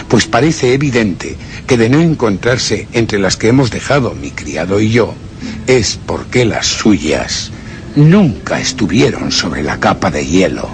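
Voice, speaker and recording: Brooding gentleman narrator for suspense radio play; low voice, deliberate yet very expressive, music underneath. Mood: grim deduction. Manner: measured, theatrical, deliberate storytelling. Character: brooding gentleman narrator recounting his vengeful suspicions. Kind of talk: suspense radio drama first-person narration.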